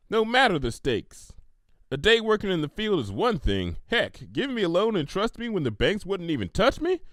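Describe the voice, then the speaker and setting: A man's voice: high-pitched, fairly bright tone, no room sound, studio quality, voice pushed up. A man voicing a proud, stubborn female country pony in a cartoon story, with a folksy Southern US drawl.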